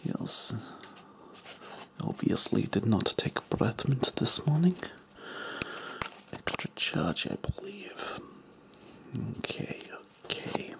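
A man voicing an eccentric, crude foreign male doctor in a deadpan whisper. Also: mid-range voice, gravelly, heavily acted. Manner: whispery, slow, deadpan joking. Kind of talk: comedic ASMR roleplay.

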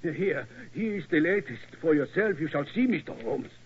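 An actor playing an anxious, deferential older German castle keeper, with a German accent and halting foreign phrasing, in 1940s radio style. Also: male voice, a little high, lively intonation, a little hiss or hum, close to an everyday voice. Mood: worried, pleading. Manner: halting, inverted foreign syntax. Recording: muffled, phone-like old radio recording.

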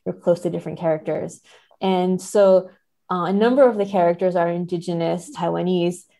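A woman's voice: lowish voice, fairly even intonation, normal speed, vocal fry, mellow. A woman speaking as an interview guest, thoughtfully explaining things in an analytical way. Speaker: podcast guest, literature scholar.

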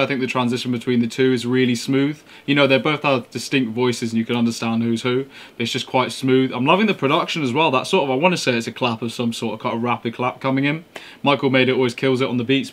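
A man's voice: mid-range voice, fairly bright tone. A young man giving casual, slangy, laid-back commentary as a music reaction host, speaking in a bedroom. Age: young adult. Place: small bedroom indoors.

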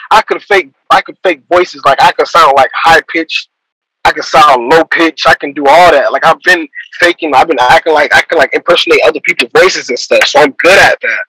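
A young man rapper on a video call, talking loose and slangy and trailing off mid-sentence. His voice sits a bit high for a man and sounds bright and forceful. The sound is close and dry. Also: husky, dry recording, low-quality recording. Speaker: rapper guest. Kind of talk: casual interview talk.

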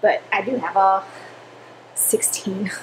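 A woman's voice: mid-range voice, fairly even intonation, slow pace. Young woman vlogger, chatty and self-deprecating, camera on the counter in a home kitchen, light background hum. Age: young adult. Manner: chatty, self-correcting, laughs at herself. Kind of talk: casual chat to camera.

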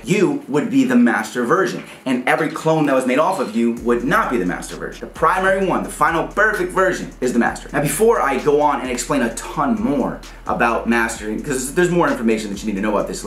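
Fast-talking man, roomy sound. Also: medium pitch. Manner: emphatic, slangy, repeats points for clarity. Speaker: host of a music business channel for rappers. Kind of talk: casual explainer talk to camera. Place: small home studio room, indoors. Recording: roomy indoor echo.

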